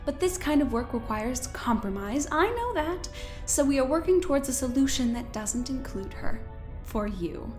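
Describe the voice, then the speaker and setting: A woman playing a cool, controlling scientist in an audio drama, speaking in a measured, formal, pointed way. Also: sits high, sing-song, darkish tone. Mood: smooth condescension. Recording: music under the voice.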